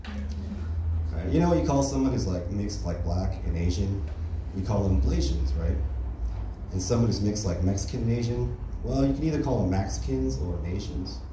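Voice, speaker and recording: Young American male stand-up, low voice, deadpan and casual, in a noisy small club with a distant mic. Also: normal speed. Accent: General American. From United States. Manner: deadpan, casual, leans on "right?" and "you know?". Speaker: stand-up comedian. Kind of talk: stand-up comedy set. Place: small comedy club stage, indoors. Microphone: handheld mic on stand. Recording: roomy club sound from a far camera.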